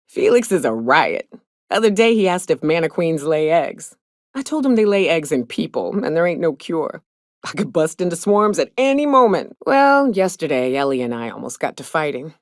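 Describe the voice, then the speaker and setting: A gruff, guarded monster hunter in her thirties for a video game. Her voice sits low for a woman, and she talks in a blunt, folksy drawl full of rough slang. The recording is very dry, like a studio booth. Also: no room sound. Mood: wry, amused. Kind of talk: video game character dialogue.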